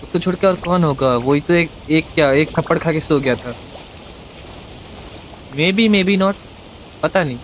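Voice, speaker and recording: Fast-talking Indian male game streamer. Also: on the high side, a little hiss or hum, rough recording. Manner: playful, repeats phrases for teasing effect. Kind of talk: casual live gameplay commentary, mixing Hindi and English. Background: game music.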